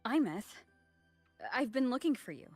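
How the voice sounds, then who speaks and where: A woman's voice: fairly high pitch, sing-song, normal speed, husky, no echo. An actress playing a reserved, earnest young woman with a probing manner in a video game. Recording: music under the voice.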